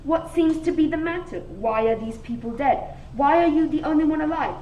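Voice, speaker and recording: High, pompous lady police inspector, acted by a woman. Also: moderate pace, muffled recording, lightly acted. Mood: brisk, officious. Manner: pompous, declamatory. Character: self-important, crooked female police inspector. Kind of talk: comic radio mystery play acting. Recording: echoey room, distant mic, faint noise.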